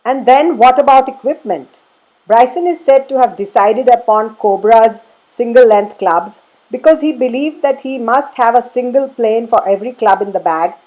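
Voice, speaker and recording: Woman, slightly high voice, scripted instructional narration, formal, measured delivery. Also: darkish tone, poor audio. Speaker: golf instructor presenting.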